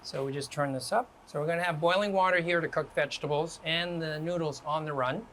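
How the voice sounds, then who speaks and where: A man from Canada working as a guest chef, talking relaxed and step by step through a home cooking demonstration in a kitchen. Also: fairly high pitch, brisk, slight background noise.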